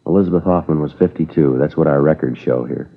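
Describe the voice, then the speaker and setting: An actor playing a stern, dogged middle-aged police sergeant, clipped and deadpan, in a 1950s radio crime drama. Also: male voice, rumbling low, fast talker. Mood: questioning. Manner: clipped, deadpan, matter-of-fact. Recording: muffled old 1950s radio recording.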